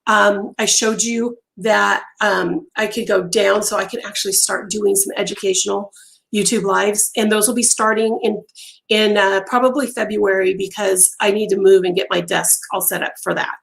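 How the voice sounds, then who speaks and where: A woman hosting a casual crochet live stream from home, chatty with many ums and pauses, her voice going hoarse and interrupted by throat clearing. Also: medium pitch, fairly even intonation, fairly bright tone, rough recording. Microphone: phone on a mount.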